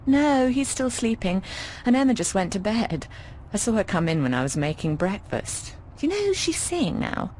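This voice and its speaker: An actress voicing a breezy, affectionate, bawdy landlady in a video game, chatting in an expressive, teasing way. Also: mid-range voice, moderate pace, slight background noise, close to an everyday voice. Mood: protective, gossipy. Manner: expressive, teasing, calls people darling. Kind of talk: conversational video game voice acting.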